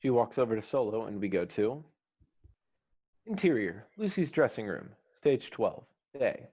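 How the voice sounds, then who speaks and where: A man's voice: on the low side, sing-song, a little slow, mellow, slightly rough. A man reading screenplay stage directions as the narrator of a scripted table read. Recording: slightly dull online call audio.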